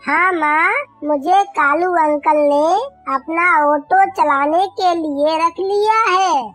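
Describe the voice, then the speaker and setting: A polite, earnest little boy bird from a kids' cartoon, voiced by a woman. The voice is extremely high and unhurried, recorded dry with music underneath. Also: fairly bright tone, no room sound, exaggerated voice. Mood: cheerful. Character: honest, hardworking young boy bird, polite son. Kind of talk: Hindi children's cartoon dialogue.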